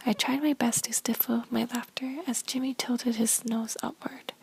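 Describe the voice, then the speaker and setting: A woman reading a first-person story aloud in a soft, unvoiced whisper. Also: fairly high pitch, level tone, moderate pace, gravelly, husky. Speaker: story reader.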